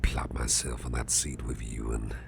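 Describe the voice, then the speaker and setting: Man playing a very deep, gravelly whispering boss. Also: level tone, background noise, exaggerated voice. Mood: relaxed, grateful. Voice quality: gravelly, breathy near-whisper. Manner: slow, murmuring, gently reassuring, slightly formal. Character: big muscular grumpy male boss, softening and grateful. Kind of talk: whispered ASMR roleplay, personal attention.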